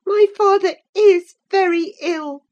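A woman voicing a proud, quick-tempered young gentlewoman who scolds sharply. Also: dull audio. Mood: indignant. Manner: indignant, scolding, high-pitched.

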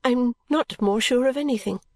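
Actress as gentle young woman, classic novel dialogue: sincere, pleading, mildly reproving, very dry recording. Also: fairly high pitch, normal speed, no echo, voice pushed up.

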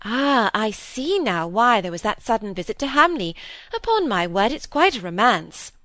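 Lady narrator doing a blunt older spinster sister in an old novel, excitable, swoopy, dry close sound. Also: on the high side, dry recording, pitched above the performer's own voice. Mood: exclaiming. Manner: brisk, exclamatory, interrupting.

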